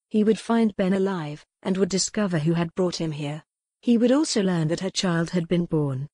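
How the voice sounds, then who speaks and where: A woman audiobook narrator reads historical romance as dramatic, scripted storytelling. Her voice sits a little low. The sound is dry and free of room echo. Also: mellow.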